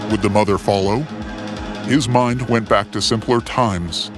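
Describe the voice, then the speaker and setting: Man, lower-pitched voice, theatrical storyteller, suspenseful scripted narration, clear music bed. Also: fairly even intonation, unhurried. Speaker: storyteller, narrator of a fiction series.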